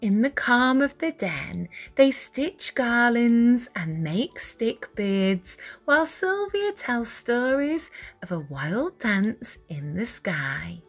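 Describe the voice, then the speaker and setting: A woman reading a children's picture book aloud with deliberate, dramatic phrasing for young listeners. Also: a little high, very animated. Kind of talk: expressive read-aloud storytelling. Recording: music behind the voice. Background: music.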